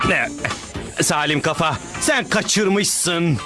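An irritated cartoon patient grumbles and complains. His voice is sharp and somewhat high, with faint music behind it. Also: animated, extremely slow, heavily acted. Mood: indignant. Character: indignant, grumbling male cartoon therapy patient. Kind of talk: Turkish cartoon dub acting.

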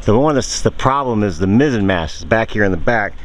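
Man, 50s, very deep voice, casual vlog tour, handheld camera mic, slight background noise. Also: lively intonation, fairly quick. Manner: chatty, pointing things out as he goes. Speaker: sailing vlogger. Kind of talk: casual show-and-tell vlog. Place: aboard a sailboat, cabin and deck.

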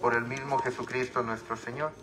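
Man chanting prayers, flat and steady. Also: medium pitch, fairly quick, a crowd in the background. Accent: Mexican Spanish. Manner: steady, even chanted recitation. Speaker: prayer leader. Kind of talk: reciting rosary prayers. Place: outdoor street altar at a night gathering. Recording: congregation voices around the mic.

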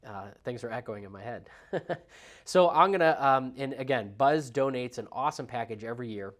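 A man's voice: mid-range voice, expressive, moderate pace, fairly bright tone. A man in his thirties hosting a podcast, chatting casually with lots of fillers, a bit congested from a cold, recorded on a lapel mic in a small studio. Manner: chatty, filler-heavy, earnest. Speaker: podcast host. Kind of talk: casual interview chat. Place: small studio lounge set.

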